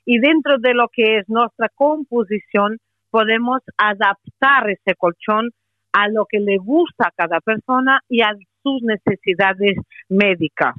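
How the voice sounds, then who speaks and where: A woman with a Portuguese accent, a company representative making a persuasive sales pitch by phone on the radio, hesitating often with "eh". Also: measured pace, no echo. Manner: persuasive, many hesitations and "eh" fillers. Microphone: telephone. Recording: tinny phone line, dry.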